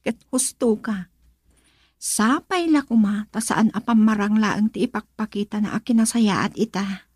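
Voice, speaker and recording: An actress with a northern Philippine accent playing a dutiful, gentle young woman in love in a radio drama, soft-spoken and hesitant. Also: medium pitch, moderate pace, breathy edge, dry recording, close to an everyday voice. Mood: thoughtful, earnest. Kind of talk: Ilocano radio drama acting.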